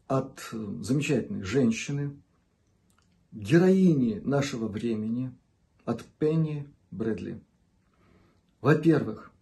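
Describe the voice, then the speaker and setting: A man hosting a channel, speaking in an unscripted monologue to viewers. He is measured and deliberate, pausing between short phrases and stretching words for emphasis. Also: mid-range voice, a little mellow. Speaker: channel host.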